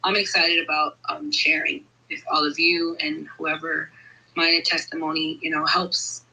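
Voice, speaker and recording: Husky bright-voiced woman from Brooklyn. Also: sits low, level tone, low-quality recording. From Brooklyn, New York. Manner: eager, halting, many fillers. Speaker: guest caller. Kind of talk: personal testimony.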